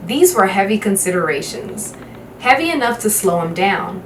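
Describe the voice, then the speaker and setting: A woman reading a children's novel aloud with measured, dramatic phrasing. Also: mid-range voice, very animated. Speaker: guest storybook reader. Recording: roomy, distant microphone, faint background noise.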